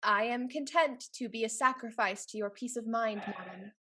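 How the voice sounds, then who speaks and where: A woman's voice: fairly high pitch, moderate pace, bright, forceful tone. A woman playing a clever young heiress in a period comedy, composed and formal as she feigns obedience. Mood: dutiful, persuasive. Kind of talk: scripted stage comedy acting.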